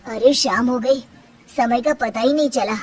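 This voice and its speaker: Woman voicing a high, plaintive young washer boy. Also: a little slow, far from the mic, slight background noise, close to an everyday voice. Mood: matter-of-fact. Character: weary, put-upon young washer boy.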